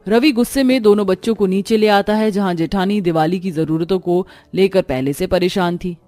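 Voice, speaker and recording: A woman narrator tells a scripted cartoon family tale. Her voice sits at a middle pitch, recorded dry and close over a light music bed. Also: normal speed, warm tone, no echo.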